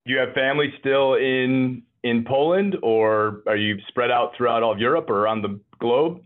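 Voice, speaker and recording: A man's voice: medium pitch, normal speed. A man hosting a podcast interview, asking friendly questions with a self-deprecating manner. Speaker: podcast host. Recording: tinny phone-like line.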